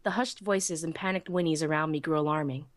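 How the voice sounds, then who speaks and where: A woman playing a small, anxious young female pony who narrates her own story in an expressive, earnest way. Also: low voice, fairly quick, dry recording, natural voice. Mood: startled. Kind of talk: dramatic first-person story narration.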